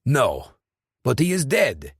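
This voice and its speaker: A man's voice: medium pitch, wildly swooping pitch, slow, breathy edge, dry recording, clean studio sound, voice pushed up, exaggerated voice. A man voicing a nervous, blustering male hired killer who stumbles defensively through his explanations.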